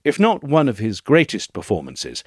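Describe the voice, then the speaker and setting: A man reads a scripted literary essay in a measured, articulate and expressive way. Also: mid-range voice, breathy edge, dry recording, studio quality. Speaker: audio essay reader.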